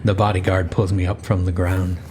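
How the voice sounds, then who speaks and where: Very deep-voiced man narrating horror. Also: flat delivery, noisy background. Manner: grave, intense, drawn-out phrasing. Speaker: horror story narrator and writer. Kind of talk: dramatic first-person horror narration.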